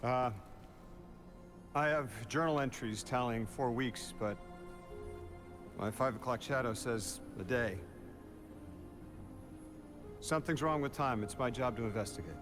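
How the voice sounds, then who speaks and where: A man's voice: mid-range voice, measured pace, long pauses, music in the background, natural voice. A man playing a wary, wry investigator in a science fiction game cutscene, probing in a casual way. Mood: wry, guarded. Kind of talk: video game cutscene dialogue.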